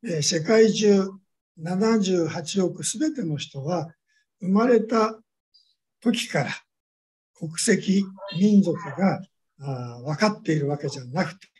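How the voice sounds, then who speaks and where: A man giving a measured academic lecture, pausing often on drawn-out fillers. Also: high-pitched, lively intonation, a little mellow. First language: Japanese.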